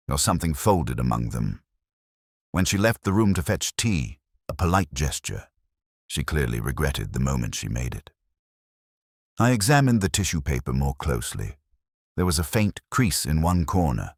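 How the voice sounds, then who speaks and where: A man narrating a detective story as a melancholy, precise older police inspector, in measured, solemn, literary phrasing. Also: rumbling low, husky, no echo, studio quality, full character voice.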